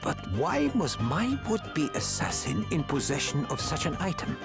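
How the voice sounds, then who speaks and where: An actor playing a courteous, learned male adviser in a video game, husky-voiced, speaking in a formal, measured way. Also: fairly high pitch, huge pitch swings, full character voice. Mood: pondering. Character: learned, courteous male scholar and royal adviser held prisoner. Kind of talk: video game cutscene acting. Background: background music.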